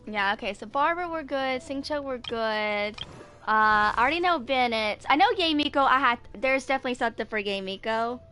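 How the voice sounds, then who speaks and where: A woman in her twenties giving casual, rambling game commentary, thinking aloud in a halting, distracted way. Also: on the high side, sharp tone, soft background music. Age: 20s. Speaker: gaming video creator.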